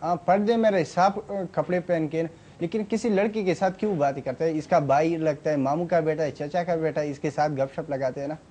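A young man, a university student and audition contestant, answering in a studio in a halting, soft-spoken way with a sore throat. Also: fairly high pitch. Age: young adult. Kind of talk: hesitant interview answers. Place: TV studio set, seated in a chair.

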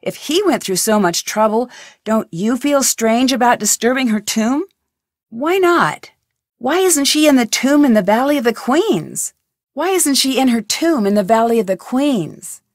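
A woman's voice: on the high side, animated, measured pace, dry recording, clean studio sound. An actress voicing a curious, determined young female amateur detective in a video game, asking earnest questions. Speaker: game protagonist. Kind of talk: studio video game voice lines.

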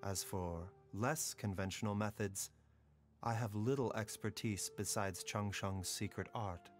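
A wise, composed doctor from a fantasy game, voiced by a man in measured, solemn tones. His voice sits a little low, with faint music underneath. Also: steady tone, natural voice. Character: calm, wise young male doctor.

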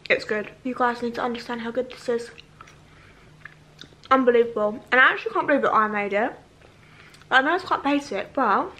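A chatty young woman with a high, bright-sounding voice talks to camera at home, gushing with excitement and lots of fillers. Her voice is caught close on the camera mic, with a faint hum of room noise underneath. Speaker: vlogger. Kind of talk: casual cooking vlog. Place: home kitchen and living room, indoors.

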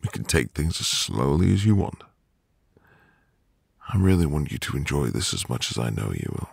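A man playing a sweet, reassuring newlywed husband in an intimate ASMR roleplay, with a gravelly, breathy voice and a gentle manner. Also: very deep voice, sing-song, dry recording, clean studio sound, full character voice. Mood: soft, reassuring. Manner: slow, gentle, soothing. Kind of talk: ASMR boyfriend roleplay, intimate soft delivery.

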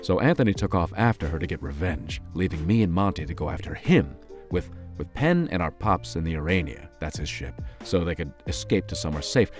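A man acting a hot-tempered, guilt-ridden gangster brother in an audio drama, speaking haltingly and repeating words. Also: sits low, animated, faint music behind. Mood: remorseful.

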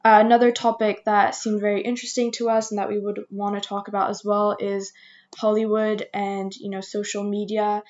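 A woman around twenty talks casually as a podcast co-host. Her voice sits at a middle pitch, and the recording is a little dull and lo-fi. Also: little pitch movement, a little fast, a little mellow. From Hong Kong, French-speaking household. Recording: slightly dull, muffled microphone sound.

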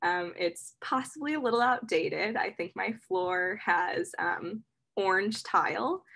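A woman's voice: medium pitch, unhurried, gravelly, a bit bright. A young woman from Michigan, a dental student, explaining campus housing on a video call in a chatty way. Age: young adult.

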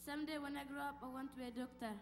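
A woman's voice: high-pitched, very fast. A girl from Ethiopia reciting a memorized testimony and scripture. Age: child. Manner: flat, memorized delivery. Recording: echoey church hall with faint hum.

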